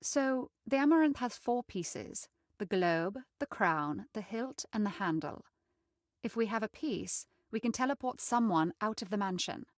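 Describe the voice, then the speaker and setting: A woman's voice: mid-range voice, expressive, measured pace, no room sound, clean studio sound, natural voice. A woman playing a refined, haughty young lady of a mansion in a game, speaking in a prim, wry, articulate way. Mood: thoughtful explaining.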